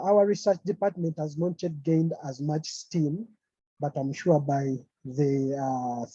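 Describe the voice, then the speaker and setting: A man from Uganda presents over a video call, pausing often with fillers. His voice is very dark and husky. The audio is dry and dull, like a lo-fi call. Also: a little high, dry recording. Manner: hesitant, many fillers. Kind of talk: online conference presentation.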